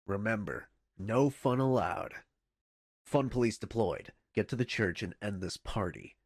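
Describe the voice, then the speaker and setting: A male headquarters dispatcher for a video game, with a lowish voice in a dry, close recording. He is bossy and easily exasperated. His briefings are deadpan and official, laced with goofy sarcasm. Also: measured pace, no echo. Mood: bossy, wry.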